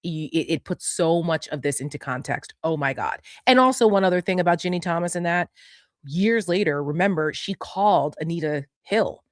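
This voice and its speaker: A woman's voice: fairly low pitch, lively intonation, normal speed, dry recording, studio quality. A woman co-hosting a political talk, reading messages aloud and adding conversational commentary.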